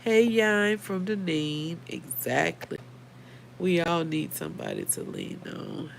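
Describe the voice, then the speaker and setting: A middle-aged American woman, a little hoarse, chatting casually and earnestly on a livestream and speaking straight to her listeners. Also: low-pitched, sing-song, slow pace, a little hiss or hum. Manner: conversational, earnest, addresses listeners directly. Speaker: livestream host. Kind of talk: casual live chat reading viewer comments.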